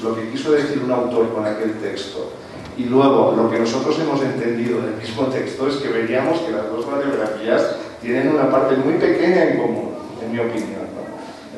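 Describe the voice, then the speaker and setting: A man's voice: medium pitch, a little mellow, a little hiss or hum. A man from Spain whose first language is not Spanish, speaking at an academic panel in a reflective way, often asking the audience questions. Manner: reflective, asks the audience questions, frequent tag "¿no?". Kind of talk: conversational academic talk. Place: large auditorium. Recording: echoey hall from far off.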